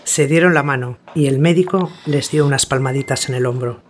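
Male radio-drama narrator, mid-pitched. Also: a little fast, slight background noise.

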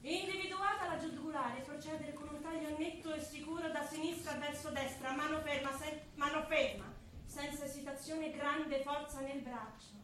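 An actress plays a devout, bossy woman in a stage play. Her voice is high and insistent, with instructions hammered home by repetition. She is heard from far off in a very reverberant hall with clear background noise. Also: moderate pace, a bit bright. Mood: matter-of-fact, instructing. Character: devout, bossy woman briskly explaining butchery. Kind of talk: live stage play acting. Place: theatre stage, recorded from a distance. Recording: echoey noisy theatre, far from mic.

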